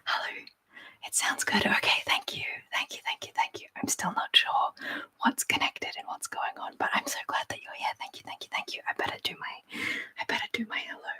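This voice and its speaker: Whispering Australian woman, bright and breathy ASMR. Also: a little fast. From Canberra, Australia. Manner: rambling, repeats words softly. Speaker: ASMR livestream host. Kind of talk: whispered ASMR, casual live chat.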